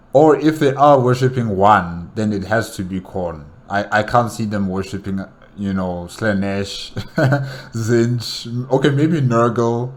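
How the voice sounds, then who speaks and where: A man giving casual solo commentary as a reaction host, musing hesitantly and repeating his phrases. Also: fairly low pitch.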